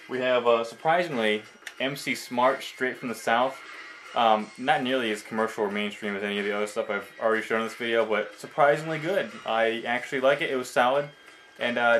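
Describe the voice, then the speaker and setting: A man's voice: medium pitch, fairly bright tone, slight background noise. A young man chatting casually and enthusiastically as a video host, slangy and prone to swearing, in an amateur recording. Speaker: video host showing a collection. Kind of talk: casual enthusiastic vlog chat.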